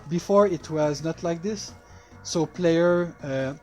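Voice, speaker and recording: Male game developer, native French speaker with French accent; unhurried, halting delivery of casual playthrough commentary, music clearly behind. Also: on the high side, darkish tone. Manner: halting, hesitant non-native English.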